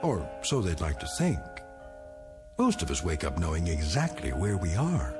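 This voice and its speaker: A solemn narrator introducing eerie radio tales, with music clearly behind him. His lowish voice rises and falls widely. He speaks with measured irony and a portentous air. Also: wildly swooping pitch. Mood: ominous, knowing. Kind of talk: dramatic scripted narration.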